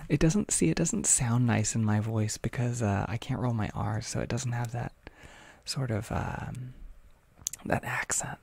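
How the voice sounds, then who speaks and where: A young adult with an American accent chats casually on a livestream, reading and answering comments in a soft-spoken, hesitant, rambling way. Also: medium pitch, a little slow, vocal fry, breathy edge. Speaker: ASMR audio creator livestreaming.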